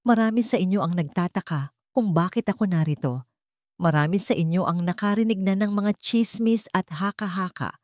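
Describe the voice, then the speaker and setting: A woman's voice: mid-range voice, normal speed, darkish tone, no echo, studio quality, lightly acted. A woman voicing a protective, determined male CEO in a dramatic storybook, solemn and emphatic.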